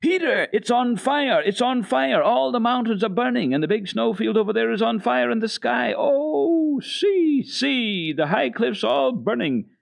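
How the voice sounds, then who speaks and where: A man's voice: animated, a little slow, vocal fry, hardly any pauses, full character voice. A man putting on an eager, squealing little girl. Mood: awed excitement. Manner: breathless, exclaiming in falsetto.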